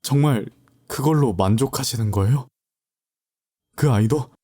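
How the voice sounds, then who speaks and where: A man's voice: mid-range voice, unhurried, dark tone, close to an everyday voice. A young man playing a troubled university student, talking hesitantly with frequent stammers. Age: young adult. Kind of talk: audio drama acting.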